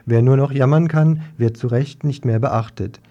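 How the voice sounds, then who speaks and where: A man's voice: mid-range voice, moderate pace, dark tone, lo-fi sound. A man reading out a scripted political report as a radio news reader.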